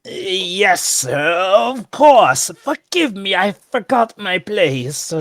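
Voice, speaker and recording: A woman's voice: medium pitch, sing-song, extremely slow, fairly bright tone, dry recording, full character voice. A woman voicing a nervous, deferential aide to a villainous president in a comic book reading, hesitant and pleading. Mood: hesitant, apologetic. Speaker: comic dub voice reader.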